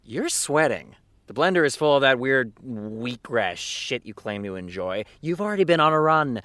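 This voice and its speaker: An actor playing a flippant, sleepless, hard-drinking showrunner who rants sarcastically in naturalistic audio drama dialogue. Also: male voice, mid-range voice, very animated, normal speed, a bit bright, no echo. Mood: dry, flippant. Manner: sarcastic, theatrical ranting.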